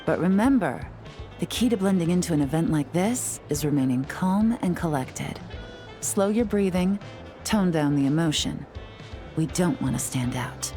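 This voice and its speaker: A woman voicing a cool, low-voiced spy. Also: close to an everyday voice. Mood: composed. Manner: calm, measured, self-assured. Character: cool, composed female spy and tea house owner. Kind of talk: video game dialogue. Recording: game audio with faint music.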